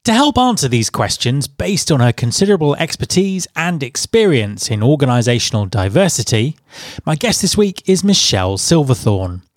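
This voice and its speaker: A man's voice: medium pitch, sing-song, moderate pace, dry recording, studio quality. A man hosting a podcast, introducing his guest and asking questions in an upbeat way. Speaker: podcast host.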